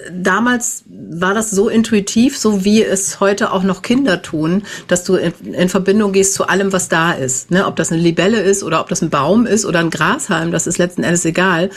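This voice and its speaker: A woman in her fifties chats as a podcast guest. She talks in a loose, easygoing way, often ending her sentences with a quick tag question and laughing easily. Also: on the low side, husky. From Germany, grew up in the Netherlands. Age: 50s. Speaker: guest, animal communicator. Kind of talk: casual interview chat.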